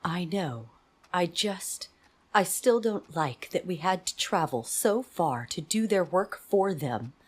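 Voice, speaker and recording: A woman playing a composed, stern military leader with weary authority in an anime audio drama, measured and commanding. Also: lowish voice, expressive, breathy edge, heavily acted. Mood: frustrated.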